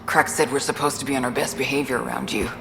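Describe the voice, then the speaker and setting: Low-voiced, blunt gang enforcer. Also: female voice, fairly even intonation, fast, creaky, fairly bright tone, roomy sound, big-room sound, a little hiss or hum, heavily acted. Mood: grudging, commanding. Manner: blunt, brusque, sardonic. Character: hard-edged female gang enforcer running a prison. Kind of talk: video game voice acting.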